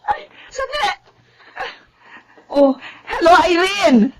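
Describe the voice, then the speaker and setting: A Filipina actress playing an excitable, caring middle-aged mother in a radio drama. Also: very high-pitched, takes it slowly, exaggerated voice. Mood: excited. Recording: clearly noisy radio drama audio.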